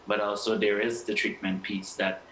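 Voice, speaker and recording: A man's voice: fairly low pitch, level tone, moderate pace, a bit bright. A man from Guyana speaking as an interview guest, hesitating with many fillers and restarts. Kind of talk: interview answers. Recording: echoey room with faint noise.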